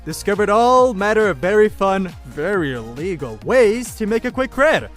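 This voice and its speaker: A man's voice: sits high, big pitch swings, normal speed, soft background music. A man playing a boastful hacker convict of about thirty in a sci-fi audio drama, cocky and showy. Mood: giddy, amused. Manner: showy, self-amused, cocky. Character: brash, boastful thirty-year-old male hacker convict.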